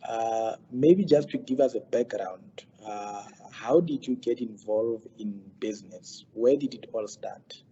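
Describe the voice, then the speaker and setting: A man's voice: mid-range voice, a little slow, hardly any pauses, warm tone, husky, highs cut off. A man hosting an interview, polite and formal, with frequent hesitations. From South Africa. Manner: polite, formal, many fillers. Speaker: interview host.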